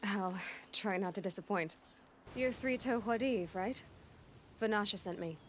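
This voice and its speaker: Woman acting direct, mid-pitched young huntress. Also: measured pace, slight background noise, lightly acted. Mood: dry, direct. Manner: plain, direct, matter-of-fact. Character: young female huntress, direct, questioning, determined. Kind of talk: video game cutscene dialogue acting.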